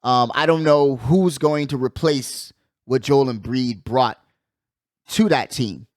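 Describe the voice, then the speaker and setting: A man hosting a casual sports talk show, giving animated, slangy commentary and repeating phrases for emphasis. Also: medium pitch, takes it slowly, no echo, clean studio sound. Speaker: sports podcast host.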